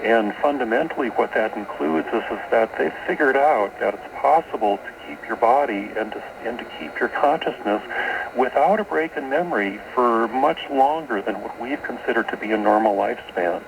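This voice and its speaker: Through clear shortwave noise comes a man with a creaky, fry-laden voice at an average pitch. He speaks as an interview guest explaining esoteric beliefs, doubling back on words in a halting way. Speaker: guest author. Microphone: radio broadcast, taped off air. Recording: noisy shortwave radio broadcast on cassette.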